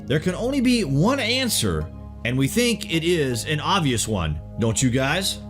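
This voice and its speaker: A man's voice: fairly high pitch, sing-song, unhurried, faint music behind. A man delivers scripted documentary-style narration in a dramatic way, posing rhetorical questions straight to the listener.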